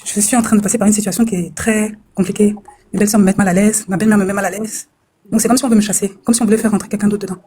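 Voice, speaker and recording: A woman's voice: mid-range voice, fairly even intonation, racing, warm tone, slight background noise. A woman caller from France telling her troubles, hesitant and distressed and often repeating herself.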